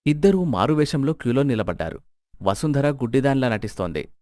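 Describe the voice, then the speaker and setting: A man's voice: mid-range voice, sing-song, a little fast, dark tone, no echo, clean studio sound. A man narrating a scripted moral story as its storyteller.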